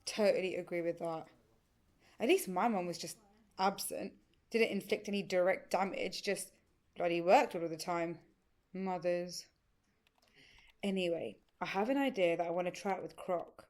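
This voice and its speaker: A woman's voice: lowish voice, moderate pace, pauses often, a little mellow, lightly acted. An actress playing a caring but firm, teasing woman in audio play dialogue. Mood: wry.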